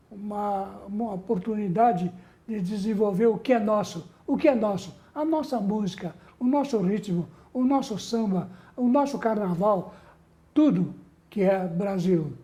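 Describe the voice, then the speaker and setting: An older man from southern Brazil, a veteran bandleader being interviewed, who speaks haltingly and repeats words, affectionate and sentimental. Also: sits high, dark tone. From Rio Grande do Sul, Brazil. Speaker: guest, veteran musician and bandleader. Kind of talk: conversational interview.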